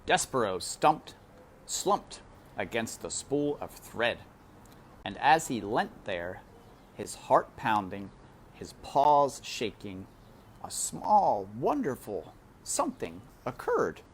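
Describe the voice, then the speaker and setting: A woman narrating a children's novel aloud with expressive, deliberate, dramatic phrasing. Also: extremely low pitch, slight background noise.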